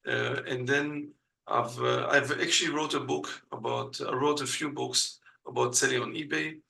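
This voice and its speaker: A man from Belgium speaks as an in a casual interview. His voice is gravelly yet bright and forward. He talks hesitantly and fills his pauses with plenty of "uh". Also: mid-range voice, steady tone. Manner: hesitant, many uh fillers, non-native grammar. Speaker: guest.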